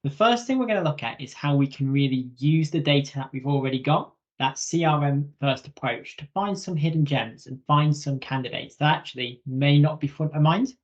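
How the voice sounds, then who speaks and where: A man giving an informal instructional webinar presentation as a software trainer. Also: a little high, normal speed, few pauses. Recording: slightly dull lo-fi webinar audio.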